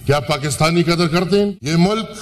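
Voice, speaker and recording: A man giving an impassioned, rhetorical speech as a senator in a large parliament chamber, pausing at length between phrases. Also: a little high, slight background noise. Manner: impassioned, rhetorical, with long pauses. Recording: parliament broadcast with hall reverb.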